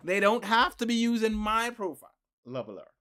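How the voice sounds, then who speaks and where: A man's voice: high-pitched, sing-song, brisk, bright, forceful tone, clear, resonant tone. A man co-hosting a casual podcast, rambling and repeating himself with frequent laughs. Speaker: podcast co-host.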